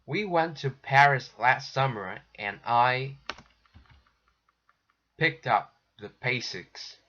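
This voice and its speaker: A man's voice: medium pitch, animated, pushed and bright, slight background noise. A man going through textbook exercises as an English teacher, patiently, with frequent restarts. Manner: patient, slow, restarts often. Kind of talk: recorded textbook lesson walkthrough. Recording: dull lo-fi sound.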